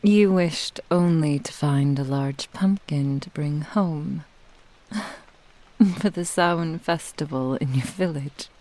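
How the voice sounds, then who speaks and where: A woman playing a possessive, doting fox-spirit witch in an intimate ASMR roleplay, teasing in formal old-fashioned phrasing and often dropping into breathy whispers. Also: low-pitched, takes it slowly, warm tone. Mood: teasing, delighted. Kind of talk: ASMR roleplay, intimate scripted monologue to the listener.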